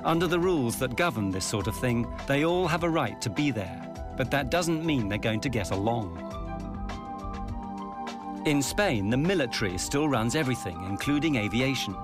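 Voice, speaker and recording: A man narrating a documentary in a measured, grave, scripted delivery. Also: medium pitch, animated, over music.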